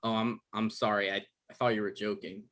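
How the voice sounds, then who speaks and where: A man's voice: on the low side, little pitch movement, a bit bright, natural voice. A man playing an awkward, apologetic young man who turns dryly sarcastic when cornered, in a comedy table read. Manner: hesitant, halting, dry.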